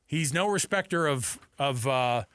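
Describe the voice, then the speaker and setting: A man's voice: medium pitch, lively intonation, measured pace, a bit bright, clear, resonant tone, dry recording, studio quality. A man hosting sports talk radio, giving opinions emphatically and repeating his points for weight. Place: radio studio.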